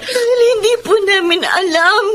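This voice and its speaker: Radio drama daughter: young Filipina, very high, pleading through tears, soft music behind. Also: female voice, moderate pace. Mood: tearful pleading. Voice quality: tearful.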